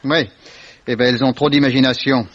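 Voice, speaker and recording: An actor playing a worldly, evasive Swiss salesman in a 1950s French radio mystery, sardonic, unhurried and given to repeating himself. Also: medium pitch, steady tone, dry recording, close to an everyday voice. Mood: dismissive, amused. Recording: dull, dry vintage radio recording.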